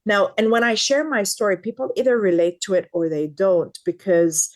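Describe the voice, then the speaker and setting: A woman's voice: mid-range voice, steady tone, normal speed, a little mellow. A woman in her fifties from Lebanon, a guest expert in a podcast interview, speaking earnestly and repeating words for emphasis.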